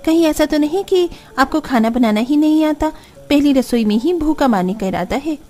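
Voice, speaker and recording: Cartoon young female in-law woman performer, very high mellow voice, chatty, frank and teasing. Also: expressive, normal speed. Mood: sarcastic. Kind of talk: Hindi family cartoon acting.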